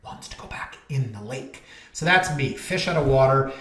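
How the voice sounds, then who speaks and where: Brief: realtor in his thirties, chatty and self-mocking, mid pitch, recorded in a roomy space. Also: expressive, moderate pace, fairly bright tone, slight background noise. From Canada. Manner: chatty, joking, self-deprecating. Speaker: realtor and vlog host. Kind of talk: casual rambling talk to camera. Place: office.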